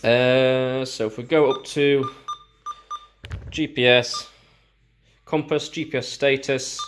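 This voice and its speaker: A man giving a casual, hands-on product walkthrough, explaining informally and a bit haltingly as he demonstrates. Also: mid-range voice, steady tone, noisy background. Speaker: gear reviewer.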